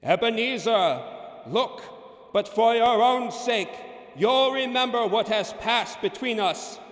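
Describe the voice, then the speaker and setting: A mournful male ghost in a radio play, drenched in echoing reverb. He declaims slowly in a very high wail, solemn and full of warning. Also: lively intonation, full character voice. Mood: grave warning. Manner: declamatory, wailing. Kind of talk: old-time radio drama acting. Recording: echoey, long ghostly reverb.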